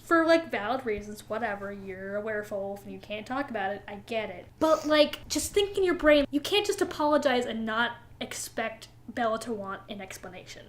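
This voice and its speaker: A woman's voice: a little high, lively intonation, moderate pace, few pauses. A woman co-hosting a casual comedic book chat, giggly, emphatic and incredulous, with plenty of swearing. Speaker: podcast co-host.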